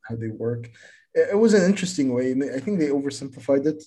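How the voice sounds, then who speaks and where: A man's voice: medium pitch, animated, rapid-fire, mellow. A man in his twenties co-hosting a podcast, chatting casually and rambling, often repeating words as he starts sentences. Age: 20s. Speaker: podcast co-host. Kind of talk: casual chat.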